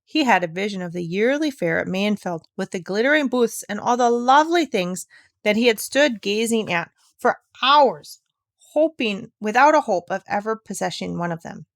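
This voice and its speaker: A woman's voice: mid-range voice, creaky, dry recording, studio quality. A woman reading a classic children's story aloud as scripted narration. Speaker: audiobook reader.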